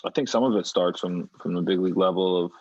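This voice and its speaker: A young man from New York, a professional baseball player, talking calmly and reflectively as a podcast guest. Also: low-pitched, fast talker, no echo, highs cut off. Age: young adult. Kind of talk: podcast conversation.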